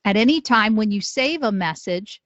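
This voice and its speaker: Casting: woman as software trainer, methodical tutorial read, close dry sound, medium pitch. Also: lively intonation, normal speed, no echo, lo-fi sound. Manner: clear step-by-step explaining. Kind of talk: instructional narration.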